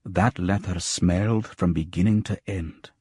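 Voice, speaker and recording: Husky low-voiced man narrating noir fiction. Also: steady tone, measured pace, a little mellow, no room sound. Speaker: audiobook narrator. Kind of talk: scripted first-person noir fiction narration.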